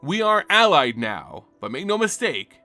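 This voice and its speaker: A man voicing a ruthless, defiant crime-boss creature of living ether in a fantasy story, with grave, deliberate pronouncements. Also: fairly high pitch, big pitch swings, pushed and bright, soft background music, heavily acted. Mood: grim defiance.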